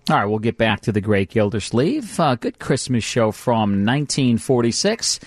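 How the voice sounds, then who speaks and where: A man's voice: medium pitch, expressive, normal speed. An American man hosting a radio show in a casual, conversational, repetitive sales patter. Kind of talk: casual radio promotion and chat. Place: dry radio studio.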